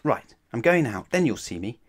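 A man voicing an excitable, insistent young boy who is loud and exasperated, as in a children's story. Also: mid-range voice, moderate pace, husky, voice pushed up.

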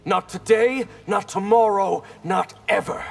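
A man's voice: a little high, lively intonation, a little slow, breathy edge. A man voicing a principled, determined ninja deputy in a video game, earnest and resolute. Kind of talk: video game character dialogue. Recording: music behind the voice.

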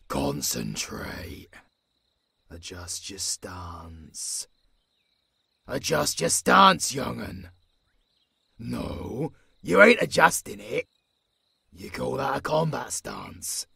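A man playing a gruff, centuries-old werewolf mentor in an audio roleplay, with a British accent, a raspy voice and a stern, scolding manner. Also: medium pitch, sharp tone, dry recording, exaggerated voice. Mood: stern, mocking. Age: older. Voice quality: raspy, gravelly. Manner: stern, swearing, scolding drill-master.

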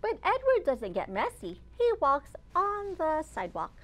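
A woman in her thirties, a children's librarian hosting storytime, reading aloud expressively and deliberately with dramatic emphasis for young children. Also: high-pitched, wildly swooping pitch, a bit bright. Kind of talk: animated read-aloud of a picture book for young children. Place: open library children's area, indoors.

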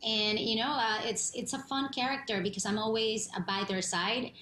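A woman in her thirties from Puerto Rico, an actress talking excitedly about her film on a video call from home. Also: a little high, moderate pace. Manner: effusive, gushing. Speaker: actress and singer guest. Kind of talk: remote TV interview. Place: home room, indoors. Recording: echoey room, faint hum.

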